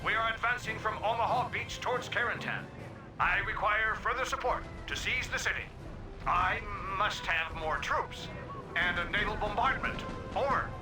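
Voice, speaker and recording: A man's voice: sits high, moderate pace, close to an everyday voice. A man playing an imperious field commander radioing formal demands in a war game. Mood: demanding, pompous. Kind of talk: video game voice acting, radio message. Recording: echoey, distant, music behind.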